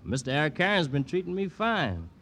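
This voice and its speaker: Gentle anxious kid, earnest, polite, raised pitch, old radio drama, faint background noise. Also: male voice, big pitch swings, a little fast. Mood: uneasy, earnest. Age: young adult. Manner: earnest, deferential. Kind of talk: 1940s-50s radio drama acting. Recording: noisy old radio recording.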